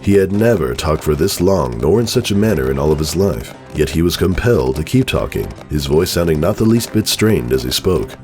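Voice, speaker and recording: A man's voice: extremely low pitch, sing-song, a little fast, hardly any pauses, a little mellow, faint music behind. A man narrating a story as a scripted dramatic reading.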